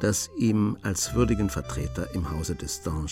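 A man narrating a scripted radio drama in a measured, storytelling manner. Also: deep voice, music in the background.

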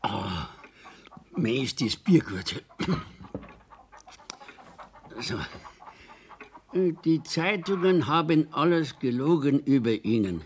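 An actor playing a simple, earnest Bavarian countryman in a radio play, speaking haltingly as he composes a letter, with a Bavarian accent. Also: medium pitch, animated, a little hiss or hum. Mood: devoted, concerned. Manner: slow, halting dictation to himself as he writes. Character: simple, devoted rural Bavarian man laboriously writing a letter. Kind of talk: radio play acting in dialect.

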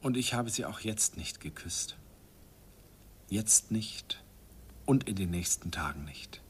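A man's voice: on the low side, expressive, slow pace, whispered. An actor narrating a radio play as an older man recalling his youth with wistful, self-mocking irony. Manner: wistful, self-ironic reminiscing.

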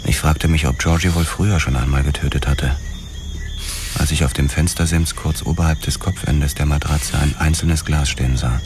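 An actor playing a police detective who narrates a grim crime radio drama in a sombre, deliberate, terse way. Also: male voice, very deep voice, fairly even intonation, over music. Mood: grim, haunted. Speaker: first-person narrator.

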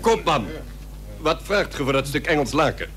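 An actor playing an imperious, threatening Barbary potentate in a period radio drama, with commanding, archaic formal speech. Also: male voice, on the high side, animated, normal speed, over music, natural voice. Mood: haughty, haggling. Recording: noisy old radio recording with hum.

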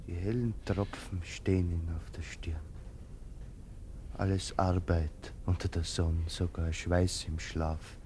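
An actor playing a poor, brooding soldier in a German radio play, humble, haunted and halting in his speech. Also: low voice, darkish tone, slight background noise. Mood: weary, resigned. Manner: halting, earnest, deferential.

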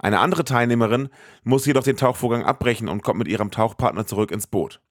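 A man's voice: mid-range voice, quick pace, dry recording, clean studio sound. A man, a podcast host narrating a scripted, colloquial recap of a film.

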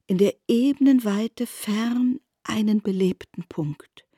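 A woman narrating a literary radio drama in a measured storytelling manner. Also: fairly high pitch, mellow, breathy edge, no echo, clean studio sound.

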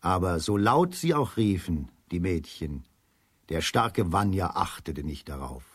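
Low, husky male storyteller. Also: expressive, measured pace, no room sound, studio quality. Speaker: narrator. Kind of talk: scripted storytelling for a children's radio play.

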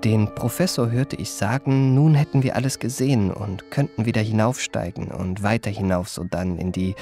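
An actor playing a reflective gentleman memoirist, narrating a radio play in ornate literary phrases with a creaky voice. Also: lowish voice, music in the background, lightly acted. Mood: absorbed, reflective. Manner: ornate, measured literary delivery.

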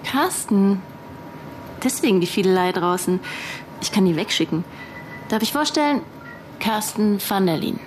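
An actress playing a curt, sharp-tongued young businesswoman in a naturalistic radio drama. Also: medium pitch, animated, a little slow, long pauses, a little hiss or hum, lightly acted. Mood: sharp, impatient.

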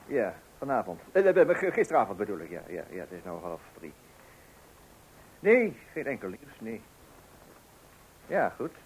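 A man's voice: lowish voice, wildly swooping pitch, normal speed, long pauses, a little mellow, husky, full character voice, low-quality recording. An actor in a radio play playing a flustered, weary father, hesitant, stammering and exasperated. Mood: harried. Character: flustered, weary father awaiting a birth at night.

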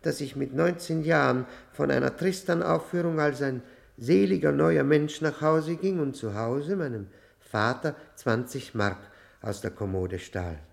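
An actor playing a bitter, educated middle-aged convict in a 1960 radio drama, halting, reflective and ironic in manner. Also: male voice, a little high, creaky. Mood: rueful, agitated. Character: bitter, brooding, educated male convict looking back on youth.

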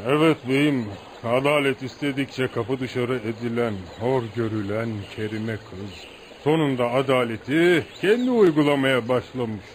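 An actor in a radio play voicing a deferential village man who tells outlaw legends with admiration, like an animated folk storyteller. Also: medium pitch, unhurried, natural voice. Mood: earnest, admiring. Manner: animated folk storyteller, addresses listener as sir. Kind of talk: radio theatre acting. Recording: noisy radio drama recording.

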